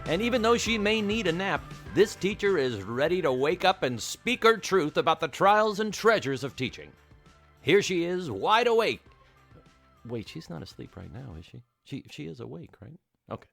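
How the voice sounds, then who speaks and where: A man's voice: a little high, big pitch swings, moderate pace, gravelly, a bit bright, soft background music. A man reading a playful, teasing scripted intro for a podcast host. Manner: playful, teasing announcer read. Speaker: show announcer.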